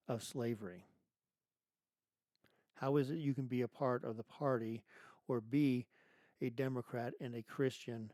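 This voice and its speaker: A man hosting his own show, delivering an emphatic political monologue full of rhetorical questions and restarts, his voice going hoarse. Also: mid-range voice, mellow, no room sound, clean studio sound. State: losing his voice. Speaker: podcast host.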